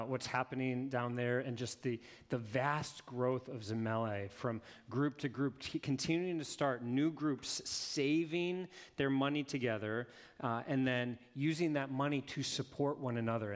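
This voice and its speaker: Casual man addressing a congregation. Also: medium pitch. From United States. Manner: casual, conversational, with frequent fillers. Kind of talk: speaking to a church congregation.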